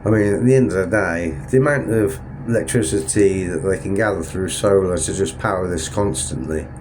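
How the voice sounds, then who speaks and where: A man's voice: low-pitched, brisk, background noise. A man with a stuffed-up, sniffly nose, chatting in a rambling, self-correcting way while filming a travel vlog from a Ferris wheel cabin at night. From England. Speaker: travel vlogger. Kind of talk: casual vlog narration.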